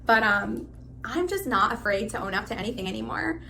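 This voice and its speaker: A woman in her thirties, originally from Pakistan, giving a spontaneous, rambling, animated self-introduction. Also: fairly high pitch, a little fast, vocal fry, a little hiss or hum. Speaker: live stream host applicant.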